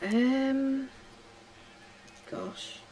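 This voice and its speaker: A middle-aged woman from Tasmania chatting casually while she crafts, answering questions slowly with many ums and pauses. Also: high-pitched, steady tone, dark tone, a little hiss or hum. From Australia (Tasmania). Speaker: craft vlogger. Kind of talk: relaxed chat while crafting.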